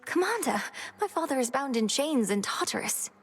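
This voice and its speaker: A woman's voice: high voice, big pitch swings, moderate pace, husky, soft background music, full character voice. A woman voicing an earnest young witch princess who speaks formally and politely to her elders.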